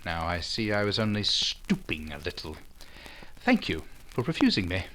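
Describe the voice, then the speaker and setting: Man playing a proud, ardent gentleman suitor, bright voice. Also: sits low, huge pitch swings, a little hiss or hum, heavily acted. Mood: wounded pride. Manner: formal, earnest, halting when moved. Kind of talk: old-time radio romance acting.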